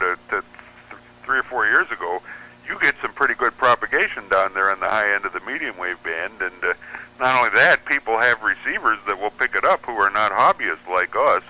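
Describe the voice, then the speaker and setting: Forceful, bright-voiced man, fairly low. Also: rough recording. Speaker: guest, radio hobbyist. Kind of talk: casual interview talk about hobby radio.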